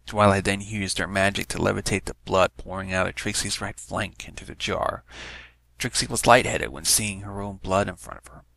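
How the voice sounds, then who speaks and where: A man's voice: low voice, steady tone, moderate pace, vocal fry, a bit bright, no room sound. A man narrating a written story, reading it aloud as scripted prose.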